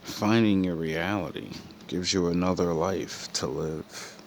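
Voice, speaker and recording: A man's voice: low-pitched, fairly even intonation, unhurried. A man giving a casual, reflective monologue in a musing, philosophical way, often letting thoughts trail off. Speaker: commentator reacting to film villain quotes. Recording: clear background noise.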